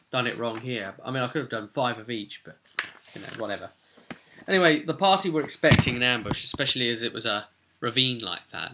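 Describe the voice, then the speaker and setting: Male game master, very bright, forceful mid-pitched voice, chatty informal session recap. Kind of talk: casual video recap of a role-playing game.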